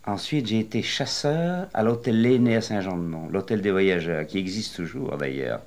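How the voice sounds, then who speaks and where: An older man in his late sixties with a very deep, low voice recounts his working life for an interviewer. He wanders through his memories slowly and hesitantly, filling gaps with pauses and restarts. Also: lively intonation, a little mellow. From western France. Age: 60s. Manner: rambling, hesitant storytelling with many pauses. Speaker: retired interviewee. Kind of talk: oral history interview.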